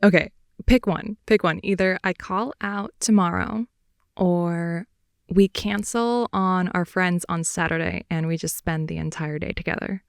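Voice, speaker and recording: A young woman playing a playful, clingy girlfriend in an improvised ASMR roleplay, rambling softly and intimately close to the mic. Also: fairly low pitch, measured pace, smooth, no echo. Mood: playful teasing. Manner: soft, intimate, rambling, giggly, often dropping to whisper. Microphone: close headphone-oriented ASMR mic.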